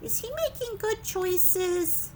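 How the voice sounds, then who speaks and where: A woman leading preschool story time, reading a picture book with simple, expressive asides and questions to young children. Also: very high-pitched, slow, slight background noise.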